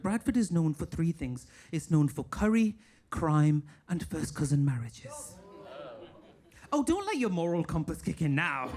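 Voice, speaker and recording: A man from England with a northern English accent doing a stand-up set in a comedy club, mock-posh and deadpan with sudden high squeals. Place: comedy club stage with a laughing audience. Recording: live room with crowd noise. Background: audience laughter and applause.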